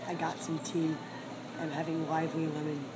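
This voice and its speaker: A hoarse woman hosting her own food vlog, chatting frankly about her meal while sitting in a seafood restaurant. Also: low voice, flat delivery, normal speed. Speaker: food vlogger. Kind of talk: casual restaurant review vlog. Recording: loud background noise.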